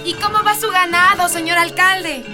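A woman's voice: very high-pitched, steady tone, moderate pace, over music. An actress playing a superstitious village mother in a radio drama. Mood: friendly.

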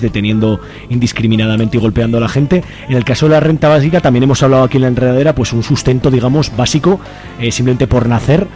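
A man's voice: mid-range voice, a little mellow. A man with an accent from Spain hosting a radio interview in an informal, explanatory way, often adding tag questions. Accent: Spanish from Spain. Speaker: radio host.

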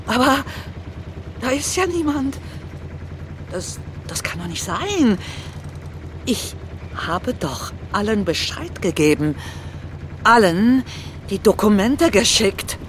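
A woman's voice: on the high side, sing-song, slow, long pauses. A woman playing a defiant crusading journalist who poses as a baroness, theatrical and indignant in her delivery. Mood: dismayed, protesting. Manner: theatrical, indignant, grandiose declaiming. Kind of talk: dramatic stage-like acting. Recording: clear background noise, vehicle-like ambience.

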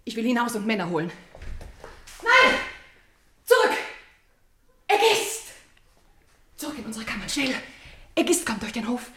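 An actress playing a frightened palace maidservant in a German radio drama, agitated and breathlessly alarmed. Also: female voice, a little high, huge pitch swings, measured pace, long pauses, sharp tone, a little hiss or hum, heavily acted. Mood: panicked.

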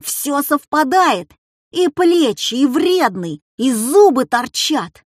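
Woman as an exuberant, animated boastful schoolboy. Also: fairly high pitch, slow pace, dry recording, studio quality. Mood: gleeful. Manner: proclaiming, exclamatory.

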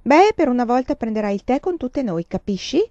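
Woman doing stern scolding mother, lecturing, impatient, mellow voice, slightly dull audio. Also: fairly high pitch, big pitch swings, a little fast, dry recording, pitched above the performer's own voice. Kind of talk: audiobook character reading.

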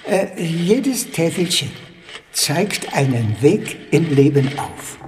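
An actor playing a reverent, wary old Chinese man who keeps a shrine in a radio drama, speaking in halting phrases. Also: a little high, very animated, a little mellow. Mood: solemn. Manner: halting, hesitant short phrases. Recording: long hall reverb, faint noise.

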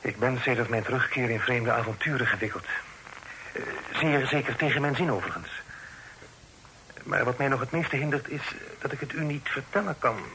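An actor playing an earnest, well-spoken young son in a radio play, speaking formally and politely. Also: male voice, medium pitch, animated, a little fast, pushed and bright, low-quality recording. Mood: earnest, uneasy. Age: young adult. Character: earnest, respectful young son with a burdening secret. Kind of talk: Dutch radio play acting. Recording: hissy old radio recording.

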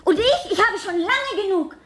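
A woman's voice: very high voice, expressive, normal speed, background noise. An actress playing an outspoken, proud teenage girl in a German radio play, speaking earnestly and frankly. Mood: outraged, hurt.

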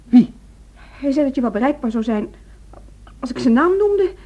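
Worried wife, highish swooping voice, attentive, radio drama, dull recording. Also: female voice, expressive, normal speed, mellow. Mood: flustered, anxious. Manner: attentive, hesitant when flustered. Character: caring, anxious wife of a troubled man. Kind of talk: radio play acting. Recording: muffled, noisy old radio recording.

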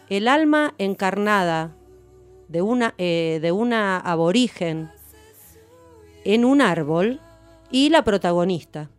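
A woman, hosting a radio show and chatting in a relaxed way about a novel. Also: medium pitch. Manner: relaxed, with fillers, speaking warmly of a novel. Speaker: radio host. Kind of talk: casual radio chat about books.

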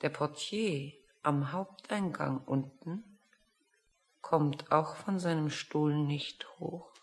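A woman's voice: low-pitched, slow, big-room sound. An actress playing a composed, officious woman in a radio play, matter-of-fact and faintly sardonic. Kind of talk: German radio play acting.

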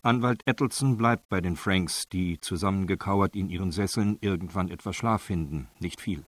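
Male narrator for crime radio drama: fairly low, sober, factual scripted delivery. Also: normal speed. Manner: sober, reportorial.

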